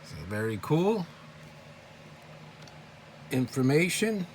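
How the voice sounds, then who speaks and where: A man's voice: a little high, very animated, slow. A man giving a casual, unscripted show-and-tell as a collector, talking in an informal, rambling and enthusiastic way. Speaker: collector presenting models and memorabilia. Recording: clear background noise.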